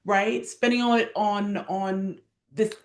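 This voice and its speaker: A woman's voice: medium pitch, fairly even intonation, slow pace. Emphatic woman in an echoing room. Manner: emphatic, builds lists, tags points with "right?". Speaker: stage director and actress being interviewed. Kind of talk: impassioned conversational interview talk.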